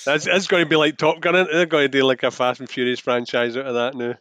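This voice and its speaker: A man's voice: mid-range voice, level tone, fast, fairly bright tone, no echo. A man co-hosting a film podcast, chatting casually and chipping in with easygoing banter. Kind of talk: casual chat.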